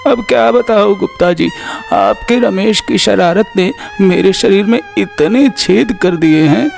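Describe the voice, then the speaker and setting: Man doing a creaky, high-pitched grumbling neighbour. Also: lively intonation, unhurried, faint music behind, exaggerated voice. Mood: aggrieved sarcasm. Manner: sarcastic complaining. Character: aggrieved comic male neighbour, sarcastic, complaining. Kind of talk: cartoon story acting.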